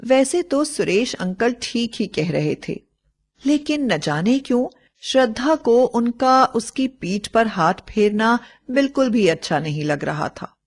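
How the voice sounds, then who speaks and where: A woman reading a scripted bedtime moral story in a measured, expressive storytelling way. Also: fairly high pitch.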